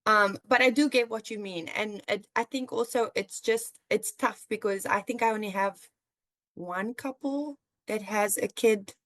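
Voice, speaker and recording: A young woman with a South African accent, chatting casually as a podcast host and telling stories in an animated, chatty way. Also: mid-range voice, unhurried, dry recording. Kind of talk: casual chat with a friend.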